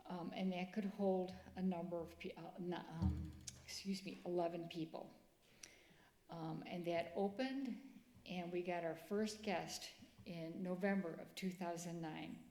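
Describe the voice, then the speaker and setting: A middle-aged woman, speaking softly in a church presentation. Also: lowish voice, fairly even intonation, measured pace. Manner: soft-spoken, quiet voice. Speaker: ministry speaker. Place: church sanctuary. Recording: echoey church room.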